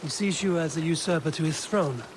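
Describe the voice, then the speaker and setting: An actor playing a proud, loyal Viking warrior in a video game, speaking in solemn, measured, old-fashioned phrases. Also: male voice, fairly high pitch, background noise, lightly acted. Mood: grave, determined. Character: loyal battle-hardened male Viking warrior, proud and resolute. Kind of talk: dramatic video game acting. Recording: music behind the voice.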